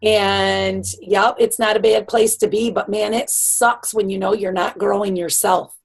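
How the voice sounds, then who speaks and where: A woman hosting a video call, encouraging and emphatic, her voice raspy. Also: mid-range voice, steady tone, measured pace. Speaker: team leader hosting the call. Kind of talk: casual motivational chat. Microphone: computer mic on a video call.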